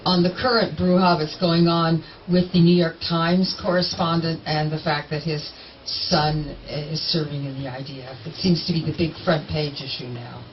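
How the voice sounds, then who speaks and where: An older woman in the audience asks a question into a handheld microphone, speaking loudly and emphatically. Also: low voice, steady tone, moderate pace, few pauses, recorded from a distance, a little hiss or hum. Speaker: audience member asking a question. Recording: dull audio in a crowded room.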